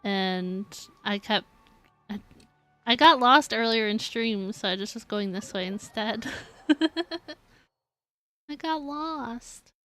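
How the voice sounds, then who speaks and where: A woman's voice: on the high side, expressive, takes it slowly, bright, forceful tone, no room sound, faint music behind. A woman streaming a game, giving casual, playful live commentary in a chatty way.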